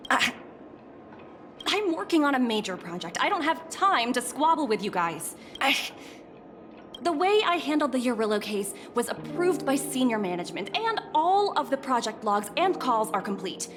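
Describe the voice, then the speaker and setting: A woman voicing a young, polite and sharp corporate executive in a video game, with a formal manner. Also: high-pitched, big pitch swings, moderate pace, background noise. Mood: exasperated. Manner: formal and deferential. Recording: background music behind voice.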